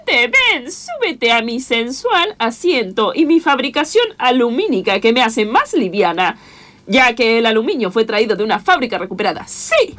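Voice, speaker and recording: Wildly swooping, seductive talking bicycle. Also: female voice, fairly high pitch, sing-song, fairly bright tone. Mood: excited. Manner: exaggerated, drawn-out, swooping. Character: talking bicycle, seductive, playful, coaxing hallucination. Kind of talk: improvised radio play acting.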